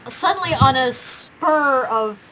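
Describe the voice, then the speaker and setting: A woman's voice: high voice, lively intonation, slow pace, pushed and bright, slight background noise, low-quality recording. A young woman hosting a casual chat, talking in a giggly, rambling way. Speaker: host of a fan gaming and quiz show.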